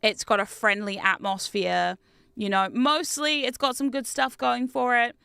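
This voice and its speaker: Young Kiwi-British woman streamer, bright higher voice, dry close sound, chatty and animated. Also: unhurried, gravelly, smooth, no room sound. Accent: mix of New Zealand and British. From New Zealand. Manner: chatty, animated, reads chat aloud, laughs often. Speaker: game streamer. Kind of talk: casual gaming livestream chat.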